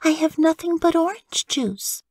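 Woman as young storybook badger girl, high voice, childlike, stubborn, plaintive, singsong, dry close sound. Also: expressive, darkish tone, dry recording. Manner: childlike, breaks into slow little songs. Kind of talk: storybook character voice.

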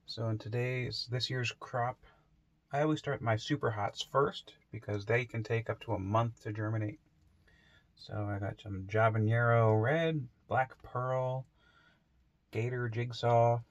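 A man's voice: medium pitch, dry recording, dull audio. A man giving a casual, unscripted tour as a home gardener, rambling and thinking aloud as he goes. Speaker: home gardener showing his grow room. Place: indoor basement grow room.

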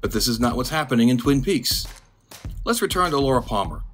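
A man narrating a scripted video essay in a dry, monotone, deadpan way. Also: medium pitch, fairly quick, soft background music. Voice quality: monotone delivery. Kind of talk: scripted commentary.

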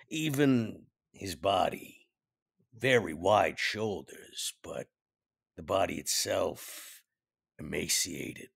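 A man with a gravelly, raspy voice slowly and dramatically describing a character for a tabletop role-play podcast. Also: low voice, sharp tone, no echo, clean studio sound.

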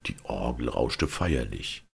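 Very deep, gravelly male storyteller. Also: animated, takes it slowly. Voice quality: gravelly, husky. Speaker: audiobook narrator. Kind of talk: expressive fairy tale storytelling.

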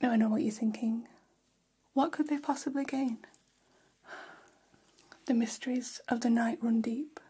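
A woman playing a gossipy, flattering vampire hair stylist in a whispered ASMR role-play, speaking in a soft, breathy, conspiratorial hush. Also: on the high side. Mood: conspiratorial, intrigued. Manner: slow, hushed, conspiratorial, indulgent. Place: small decorated indoor room.

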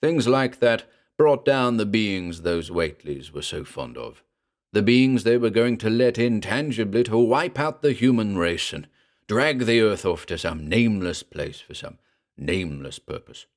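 A man delivering scripted horror drama narration in a grave, measured storytelling manner. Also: on the low side, animated. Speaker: narrator and announcer.

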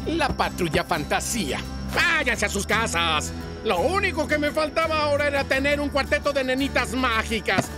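An actor voicing a boastful, grumpy, unlucky dark-grey tomcat in an animated cartoon, theatrical and indignant. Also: male voice, extremely high pitch, moderate pace. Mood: fed up. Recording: loud music behind.

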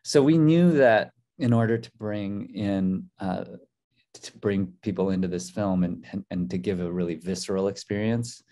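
A man's voice: sits low, normal speed, warm tone. A man in his forties, a documentary co-director, speaking thoughtfully on a video call from a hotel room, with many fillers and restarts. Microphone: video call webcam.

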